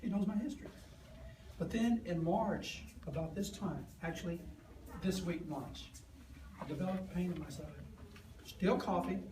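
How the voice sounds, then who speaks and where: A hoarse man in his fifties, earnest and plain-spoken, telling his story to an audience and acting out the dialogue. His voice sits a little high for a man. The recording is distant and echoey, with steady room noise behind him. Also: a little slow, pauses often. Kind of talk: personal storytelling to a live audience. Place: event hall with an audience.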